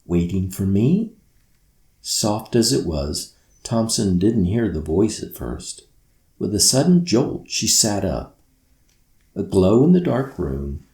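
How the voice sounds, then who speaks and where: A man's voice: deep voice, lively intonation, takes it slowly, creaky, a little mellow, roomy sound. A man narrating a children's bedtime story expressively, as an author reading his own book.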